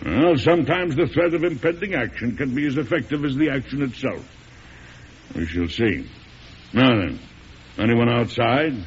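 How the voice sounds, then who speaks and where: Male actor as hot-tempered old president, hearty, emphatic, very animated pitch, vintage radio drama, muffled old recording. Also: moderate pace, slight background noise, natural voice. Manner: blustery, emphatic, oratorical. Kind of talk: 1940s-50s radio drama acting.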